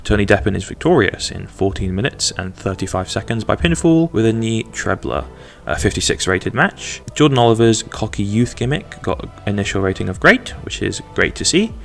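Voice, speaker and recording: Man, gravelly low voice, chatty game-commentary host with rambling asides. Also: big pitch swings, moderate pace, hardly any pauses, faint music behind. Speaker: gaming video host.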